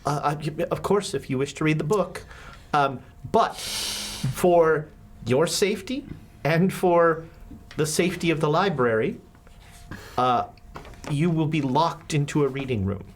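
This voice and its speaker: A man's voice: on the high side, unhurried. A man doing a wary old keeper of a cursed book. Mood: cautious. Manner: grave, hesitant warnings.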